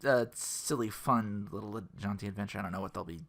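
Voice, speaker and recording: A man's voice: fairly low pitch, a little fast, gravelly, a bit bright. A man hosting a gaming podcast in a casual, rambling, slangy monologue, often acting out imagined quotes. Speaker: podcast host.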